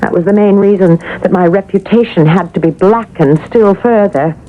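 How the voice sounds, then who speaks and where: A woman's voice: mid-range voice, normal speed, close to an everyday voice. An actress playing a reproachful, theatrical female ghost of an eighteenth-century woman in a 1970s radio drama. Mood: aggrieved. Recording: muffled, noisy old radio broadcast.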